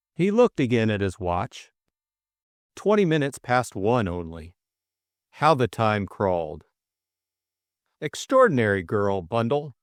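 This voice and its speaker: Expressive, unhurried male audiobook narrator. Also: fairly low pitch, dry recording, studio quality. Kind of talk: expressive scripted story narration.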